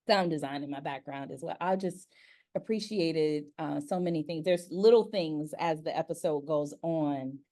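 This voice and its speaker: Woman, deep voice, chatty interview host, effusive, animated storyteller. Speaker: host, interviewer and acting coach. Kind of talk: casual interview chat.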